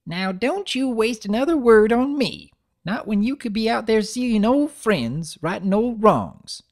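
A storybook granny pony, old, bossy and loving, voiced by a man. The voice sits high and swings up and down as she scolds in a folksy way. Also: sing-song, measured pace, full character voice. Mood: teasing, insistent.

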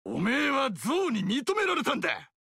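Loud rough-talking barbarian king, raspy and gravelly, swinging all over. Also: wildly swooping pitch, moderate pace, no echo, studio quality, full character voice. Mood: explaining. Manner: rough, rowdy, booming laugh. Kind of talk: video game voice acting.

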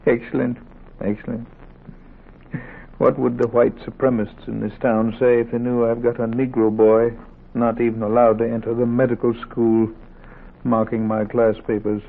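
Gruff, gravelly old-school doctor. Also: male voice, mid-range voice, level tone, measured pace, long pauses. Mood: weary, amused. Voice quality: gravelly, husky. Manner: gruff, volatile, weary. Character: gruff, grieving older Southern doctor and surgery teacher. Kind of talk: 1940s radio acting. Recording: tinny old 1940s radio recording.

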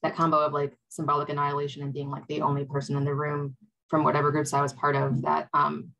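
Brief: young woman panelist on a video call, low flat gravelly voice, halting and thoughtful. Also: dull audio. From Wisconsin, USA. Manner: hesitant, reflective, many "um"s. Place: home room.

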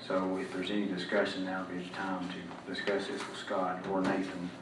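Council chair, man; very low and completely flat, businesslike, far from the mic with hum behind. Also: moderate pace. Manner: businesslike, orderly. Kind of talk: chairing a public meeting. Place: city council chamber. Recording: echoey room, distant mic, background hum.

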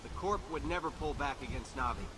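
A man's voice: mid-range voice, a little fast, background noise, natural voice. A man with an American accent playing a cocky human soldier in a video game, talking in a casual, slangy, sarcastic way. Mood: determined. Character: cocky, wisecracking human soldier, reluctant hero.

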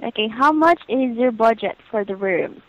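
A woman with a somewhat high voice takes a hotel booking over the phone. She is courteous and patient, using set customer-service phrases. The sound is muffled and lo-fi, with little room echo. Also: animated, moderate pace. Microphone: telephone line.

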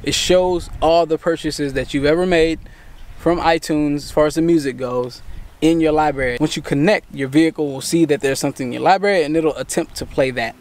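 A man's voice: fairly high pitch, brisk, a little mellow. A man in his thirties hosting a tech channel, patiently walking viewers step by step through a fix while sitting in a parked car. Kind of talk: casual how-to walkthrough. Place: inside a parked car, daytime.

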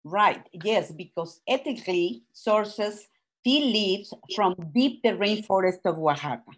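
An older woman playing a warm sixties veterana spiritual healer, read over a video call. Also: mid-range voice, expressive, unhurried, poor audio. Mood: coy, assured. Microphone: video call from home.

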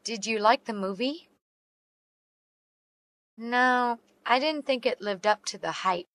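Female, slow deliberate delivery, clear diction, dry studio sound. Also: a little high, a bit bright, no echo. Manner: slow and carefully enunciated. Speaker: English teacher reading dialogue. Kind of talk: slow read-aloud English practice phrases.

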